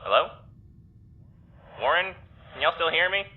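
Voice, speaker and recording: A man's voice: on the high side, expressive, measured pace. Tinny-sounding, hesitant, sardonic young man. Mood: dazed, confused. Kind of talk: naturalistic audio drama acting. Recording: tinny phone-like filter with background noise.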